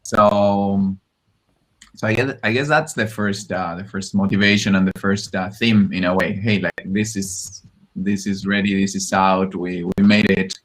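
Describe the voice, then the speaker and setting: A man's voice: deep voice, fairly even intonation, measured pace, a bit bright. A man talking casually on a video call, hesitant and often repeating words as he starts again. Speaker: conference organizer. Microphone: computer mic on a video call.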